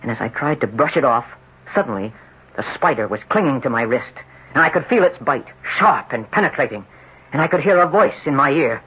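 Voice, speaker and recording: A troubled, jealous man confesses his strange story with dramatic, lively swings of pitch. The sound is a dull, noisy vintage radio drama recording. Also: a little fast, slightly rough. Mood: tormented. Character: anguished, jealous ex-surfer haunted inside a rich man's body. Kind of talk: old-time radio drama acting.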